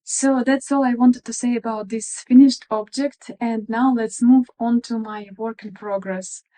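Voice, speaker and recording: Woman, Russian accent, thirties, mellow tone, a bit high, shy and friendly, casual chat. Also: steady tone, unhurried. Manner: friendly, a little shy, chatty with frequent fillers. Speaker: crafting vlogger. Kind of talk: casual crafting video chat.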